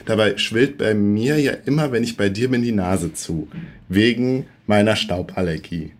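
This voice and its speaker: A man talks with a cold-roughened, hoarse voice that sits on the lower side. He co-hosts a relaxed podcast conversation. He explains things at length, pausing often to hunt for words. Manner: chatty, explains at length with many hesitations. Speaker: podcast co-host. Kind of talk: casual podcast chat.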